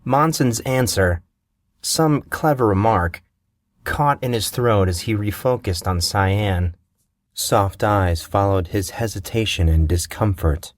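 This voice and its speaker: A man narrating a fantasy story in a measured, unhurried reading style. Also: on the low side, no echo, clean studio sound. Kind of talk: audiobook fiction reading.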